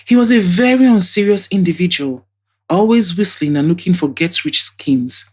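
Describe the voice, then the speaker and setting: A woman's voice: fairly low pitch, expressive, normal speed, breathy edge. A woman voicing a chatty, scornful female witness in an audio drama, telling things emphatically with indignant asides.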